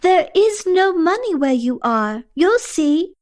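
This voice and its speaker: A woman's voice: high voice, expressive, measured pace, a little mellow, voice pushed up. A woman voicing a cheerful, confident ten-year-old girl with a playful, eager manner in an audiobook story. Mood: calling out, reassuring. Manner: emphatic, eager, reassuring. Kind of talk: acted audiobook character dialogue.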